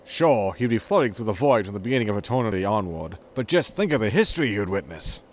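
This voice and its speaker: An actor playing a self-important, easily offended starship captain in a science fiction audio comedy. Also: male voice, lowish voice, big pitch swings, fast, slight background noise. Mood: wry. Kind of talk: audio sitcom acting.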